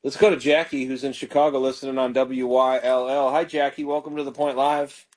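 A man's voice: medium pitch, little pitch movement, brisk. A man, a pastor hosting a live call-in radio show, leading callers in fervent prayer.

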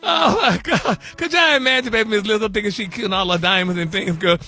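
A man playing a sassy, high-voiced gossip diva. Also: quick pace, bright, forceful tone, full character voice. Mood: gossipy. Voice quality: flamboyant, sassy, calls listeners honey and baby. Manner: drawling, breaks into giggles. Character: sassy campy gossip persona, male host. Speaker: celebrity gossip segment regular. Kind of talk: campy gossip chat on morning radio.

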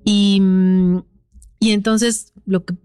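A Mexican woman author being interviewed, speaking in a reflective, thoughtful way with pauses and restarts. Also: mid-range voice, level tone, darkish tone, clean tone, no room sound, studio quality, soft background music. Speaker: author, guest. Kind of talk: interview conversation.

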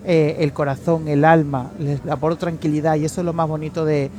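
A man's voice: fairly high pitch, brisk. Middle-aged Canarian man, effusive radio guest, faint background noise. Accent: Canarian Spanish, somewhat softened. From Canary Islands. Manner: effusive, chatty, expressive. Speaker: makeup artist guest. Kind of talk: radio interview.

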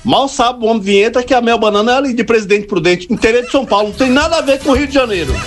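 A man's voice: very high-pitched, rapid-fire. A man with a Brazilian accent chatting casually and telling stories in a slangy, joking way. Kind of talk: casual anecdotal chat. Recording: faint music behind the voice.

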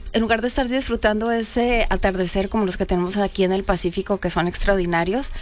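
A woman hosting a TV talk show, chatting with her guests and often checking in with "right?". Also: mid-range voice. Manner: warm affirming, frequent "perfecto" and "¿verdad?". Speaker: TV show host. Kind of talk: casual interview chat. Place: Baja California Sur, Mexico.

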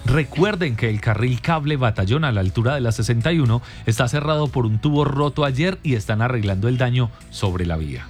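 A man co-hosting a morning radio show, reading traffic reports and ads in a steady, clear way. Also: medium pitch, brisk, few pauses, faint music behind. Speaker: radio co-host.

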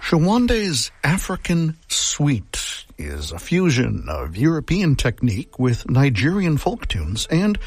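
Male radio host, animated intonation over faint background music; scripted and informative. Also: on the high side, breathy edge, dry recording. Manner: measured, informative delivery.

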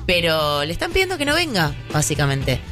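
A radio co-host with a Rioplatense Spanish accent, arguing a point in a lively, animated way. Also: medium pitch, a little fast, soft background music. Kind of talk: radio talk debate.

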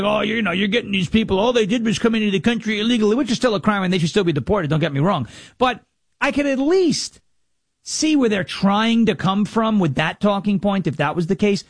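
High voiced guy ranting on talk radio, indignant and mocking, dead dry sound. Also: fairly quick, no echo. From New York, Long Island. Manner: sarcastic, indignant, mocking impressions. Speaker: radio talk show host. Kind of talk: political talk radio monologue.

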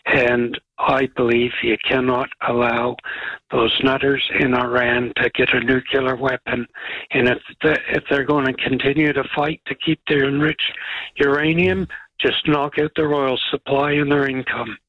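A man's voice: mid-range voice, flat delivery, a little slow, no room sound, muffled recording. A man calling a radio show, stating his views bluntly and firmly. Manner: blunt, firm, declarative. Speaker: caller. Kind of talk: talkback call.

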